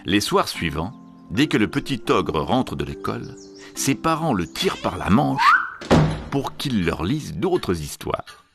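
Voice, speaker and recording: A man telling a children's storybook tale in an expressive, theatrical way. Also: lowish voice, measured pace, vocal fry. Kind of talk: animated children's storybook narration. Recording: music behind the voice. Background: music.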